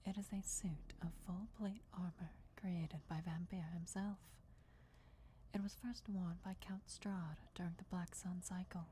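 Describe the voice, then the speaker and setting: A woman voicing an ancient, loyal vampire countess in a formal, deferential, measured whisper for fantasy ASMR roleplay. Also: on the low side, dark tone, slight background noise, lightly acted. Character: ancient female vampire countess, devoted herald's speaker, formal and reverent.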